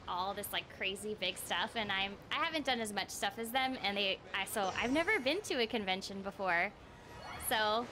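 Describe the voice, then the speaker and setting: A woman's voice: fairly high pitch, sharp tone, a little hiss or hum. A young woman chatting casually and playfully on a livestream while she plays a video game. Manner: playful, bubbly, giggly. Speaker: streamer and voice actor. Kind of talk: casual livestream chat over gameplay. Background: video game music.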